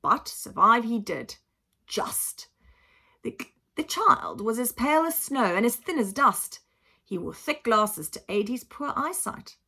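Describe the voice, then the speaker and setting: A woman's voice: fairly high pitch, big pitch swings, unhurried, gravelly, bright, forceful tone. Woman reading a children's story with drama. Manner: dramatic, emphatic, acts out sound effects. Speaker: teacher reading a storybook aloud. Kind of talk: expressive children's audiobook narration.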